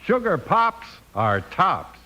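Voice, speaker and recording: A man's voice: high voice, very animated, slow pace, pushed and bright, rough recording. A man with an American accent reading as a scripted 1950s radio announcer. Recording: hissy old radio recording.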